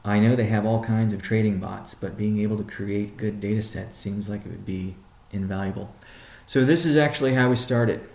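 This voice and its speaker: A man speaking as a company chief executive on a conference call, answering questions in a measured, deliberate, halting way. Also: fairly low pitch, fairly even intonation, gravelly, a little mellow, roomy sound, poor audio.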